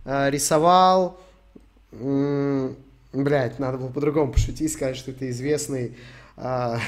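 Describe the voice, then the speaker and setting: A young man from Ukraine streaming, answering chat questions in a rambling, sweary, joking way. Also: mid-range voice. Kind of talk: casual stream Q&A.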